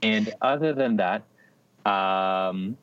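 A deep-voiced young man chats as a podcast co-host. He tells stories hesitantly, with understated dry humour, over slightly muffled audio. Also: extremely slow, fairly bright tone, no echo. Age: young adult. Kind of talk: casual chat and anecdote.

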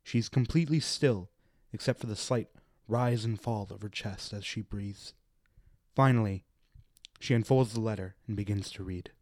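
A man narrating a radio drama, reading stage directions in a deliberate, literary storytelling manner. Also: lowish voice, darkish tone, no room sound.

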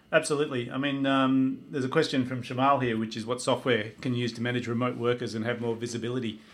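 A man's voice: mid-range voice, fairly bright tone. A man hosting a webinar, talking in an informal, discursive and conversational way. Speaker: host of online panel.

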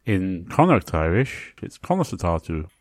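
A man hosting a podcast, explaining in a lecture style with measured, segmented phrasing. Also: low-pitched, big pitch swings, dry recording, clean studio sound. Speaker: podcast host. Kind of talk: explanatory lecture narration on language.

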